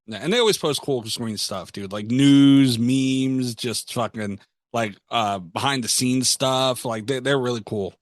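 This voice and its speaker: A man's voice: mid-range voice, a little slow, no room sound, clean studio sound. A man co-hosting a podcast, chatting casually in a slangy, opinionated, sweary way. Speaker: podcast co-host. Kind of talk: casual chat.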